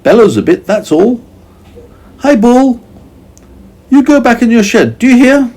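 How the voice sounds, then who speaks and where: A man voicing a foolish, meddling young man who panics easily and speaks in a shrill, excitable voice. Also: moderate pace, husky, heavily acted. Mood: alarmed, bossy.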